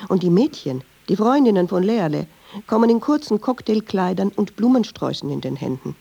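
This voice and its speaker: A chatty, sardonic woman telling a story in a radio play. Her voice is husky and creaky, with lively swings in pitch. She digresses freely in colloquial speech and is quick to take offence. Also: lo-fi sound, full character voice. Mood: sardonic storytelling. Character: talkative, wry woman storyteller, easily offended.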